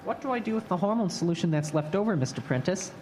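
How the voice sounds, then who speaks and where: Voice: mid-range voice, lively intonation, quick pace, darkish tone, close to an everyday voice. A performer playing a weary, confused, obedient boy assistant in an old-time radio drama, plaintive and full of questions. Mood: tired, puzzled. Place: stage before a live audience. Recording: live stage recording, faint noise.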